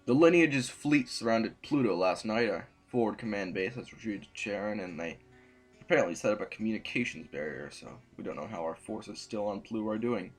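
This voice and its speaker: A man's voice: on the low side, slightly rough. A man playing a stern, embattled sovereign in an audio drama, speaking in a formal, weary, imperious way. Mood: businesslike.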